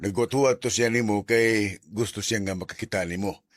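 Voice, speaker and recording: Man acting low, muffled-edged Visayan uncle. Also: moderate pace, close to an everyday voice. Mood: casual, helpful. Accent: Filipino, Visayan. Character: welcoming, easygoing middle-aged uncle. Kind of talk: Filipino radio drama acting.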